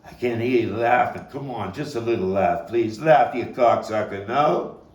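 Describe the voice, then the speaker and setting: A man performing a dramatic stage monologue as a vengeful young gang member, profane and intense, halting and repeating his words. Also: on the low side, lightly acted. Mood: taunting, furious. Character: volatile, vengeful male street gang youth recounting a bloody fight. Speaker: actor and playwright performing his own monologue. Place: echoey room, table read. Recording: echoey, noisy room, distant mic.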